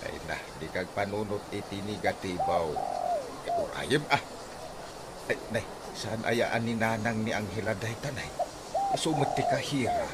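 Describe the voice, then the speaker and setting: A man's voice: on the low side, lively intonation, a little slow. A man acting a teasing, fatherly older driver in an Ilocano radio comedy drama, with an Ilocano accent and a hesitant, folksy manner. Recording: very noisy outdoor ambience, birds cooing.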